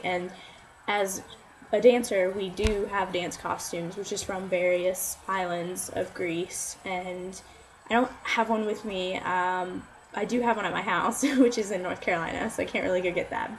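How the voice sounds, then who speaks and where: A woman's voice: mid-range voice, a little hiss or hum, low-quality recording. A young woman giving an informal class talk, upbeat and chatty, full of "like" fillers. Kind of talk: informal oral presentation.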